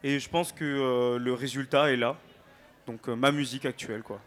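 A man's voice: mid-range voice, steady tone, moderate pace, fairly bright tone. A male singer chatting casually as an interview guest on stage, informal and playful.

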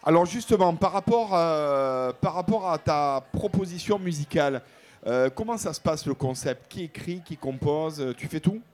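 A man with a markedly high voice hosts a live music show. He chats informally with his guest on stage, chummy and ready to tease, keeping the interview casual. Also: lively intonation, moderate pace, a little mellow.

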